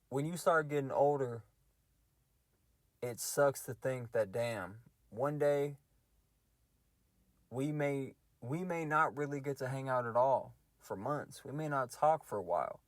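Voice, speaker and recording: A young man in his mid-twenties rants to himself in a loose, slangy, reflective way, his voice sitting at an ordinary middle pitch. The sound is dry and close, with no room around it. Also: no room sound. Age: 20s. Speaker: podcast host ranting. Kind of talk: casual solo rant.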